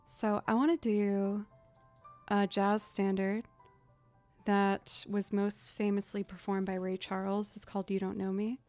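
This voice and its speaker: A young woman with a low voice, chatting casually and rambling to her live stream audience. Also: level tone, unhurried, a little mellow, soft background music. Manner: chatty, rambling, self-deprecating. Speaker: streamer and musician.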